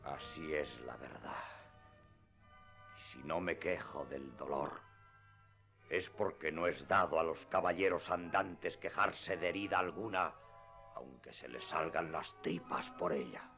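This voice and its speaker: A proud, deluded old knight-errant from a radio play. His voice is very low and his delivery grandiose, solemn and declamatory. The recording is dull and lo-fi, with music faintly behind it. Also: measured pace. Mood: stoic, proud. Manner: declamatory, lofty, archaic speech. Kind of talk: radio theatre acting.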